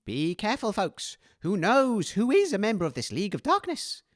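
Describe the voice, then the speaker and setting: A man's voice: sits high, huge pitch swings, normal speed, dry recording, clean studio sound. A nervous, flustered man hosting a comedy radio show in character, often stammering.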